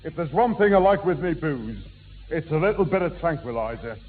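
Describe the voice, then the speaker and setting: A man's voice: on the high side, animated, a little fast, phone-quality sound, natural voice. A man playing a genial beer-loving pub regular with a Northern English accent, pompously mangling long words in a 1960s radio sitcom. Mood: contented. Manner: jolly, pompous malapropisms. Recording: thin, noisy old radio broadcast.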